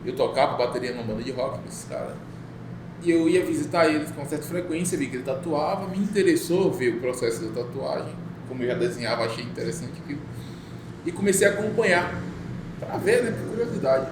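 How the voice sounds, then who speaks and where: A man's voice: on the high side, animated, fast. A middle-aged man from Bahia, Brazil, a guest tattoo artist, telling his story in a casual podcast and laughing often. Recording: echoey room with noise.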